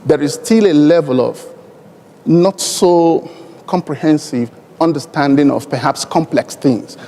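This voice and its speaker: An airline executive holding forth at an African aviation conference: a Nigerian man, native Igbo speaker, deliberate and emphatic, his gravelly voice ringing through a big hall. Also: on the high side, dark tone. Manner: deliberate, emphatic, uses elaborate formal phrasing. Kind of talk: conference panel speech. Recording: long hall reverb from conference PA.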